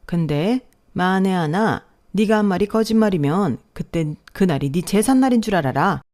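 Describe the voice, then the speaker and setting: A woman's voice: lowish voice, expressive, moderate pace, darkish tone, natural voice. A woman voicing a spiteful, sick older mother-in-law who scolds sharply and venomously. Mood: contemptuous.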